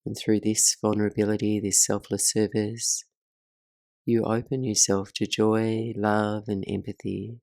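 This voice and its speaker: A woman leading a guided meditation as the teacher, speaking in a soothing, measured way with soft pauses. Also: a bass voice, level tone, gravelly, no echo.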